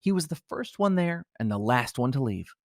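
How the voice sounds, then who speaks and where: A man's voice: medium pitch, sing-song, moderate pace, no echo, clean studio sound. A man co-hosting a comedy podcast, moving between banter and mock-solemn reading.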